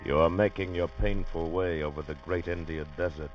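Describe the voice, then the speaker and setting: Man as an ominous, very deep radio narrator. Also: fairly even intonation, brisk, loud music behind the voice, lightly acted. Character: ominous male teaser narrator. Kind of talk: dramatic 1950s radio narration. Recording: muffled old radio recording.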